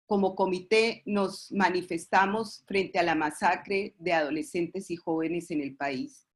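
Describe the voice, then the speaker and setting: Female expert presenter, Colombian accent, lowish pitch, formal webinar register, halting with false starts. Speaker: expert presenter at a webinar, representing a pediatric committee.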